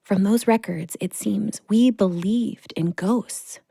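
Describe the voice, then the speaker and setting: A woman playing a wry, affectionate girlfriend in an audio drama, natural and expressive. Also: mid-range voice, takes it slowly, gravelly, slightly rough, no room sound, studio quality, heavily acted. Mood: grave, reflective.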